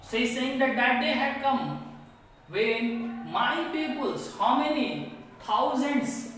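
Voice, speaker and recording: A woman's voice: sits high, lively intonation, a bit bright, a little hiss or hum. A woman teacher with an Indian English accent, reading a textbook passage aloud to students and explaining it line by line in simple words. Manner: slow, repetitive, reads a line then paraphrases it. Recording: echoey room from far off.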